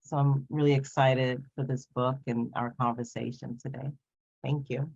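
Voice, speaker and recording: A woman from Guyana, a social work professor, introducing herself at an unhurried pace on a video call. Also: a bass voice, flat delivery, gravelly, darkish tone, breathy edge, dull audio. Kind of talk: casual self-introduction.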